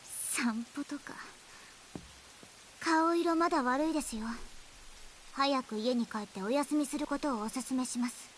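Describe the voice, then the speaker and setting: A woman plays a blunt young girl from a Japanese visual novel. Her voice sits fairly high and she talks fast, in a curt but polite way, keeping strangers at a distance. Clear hiss runs behind the streamed game audio. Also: close to an everyday voice. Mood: cool, blunt. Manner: curt, casually polite speech.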